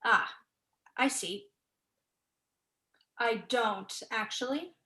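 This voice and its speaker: A young woman playing a sharp-tongued, guarded writer in a staged play reading, delivered in a dry, exasperated, cutting way. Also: fairly high pitch, extremely slow, full character voice. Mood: guarded, disapproving. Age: young adult. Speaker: actor in a student staged reading.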